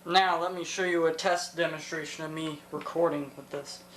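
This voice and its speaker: A woman's voice: low voice, fairly even intonation, moderate pace. A seventeen-year-old young woman giving a casual, unscripted equipment demonstration, hesitant and thinking aloud. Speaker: vintage tech hobbyist demonstrating a tape recorder. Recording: roomy echo with faint background noise.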